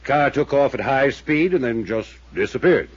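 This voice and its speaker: A man's voice: medium pitch, expressive, normal speed, natural voice. An actor playing an evasive male small-town mayor who speaks in a formal, placating, officious way in a 1950s radio drama. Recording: dull old radio transcription.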